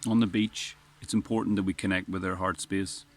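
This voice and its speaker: Man, low strong voice, rambling earnest vlog delivery, recorded outdoors by the sea with faint wind behind him. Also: level tone, brisk. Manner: rambling, earnest, frequent restarts. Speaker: vlogger. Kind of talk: casual spoken reflection. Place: outdoors on a windy beach.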